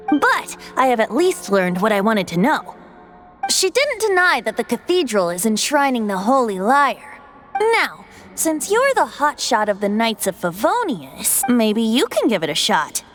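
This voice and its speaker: A woman's voice: sits high, a bit bright, heavily acted. A woman playing a cheerful, cheeky young male bard in an anime game, with a playful, boastful, theatrical manner. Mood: scheming.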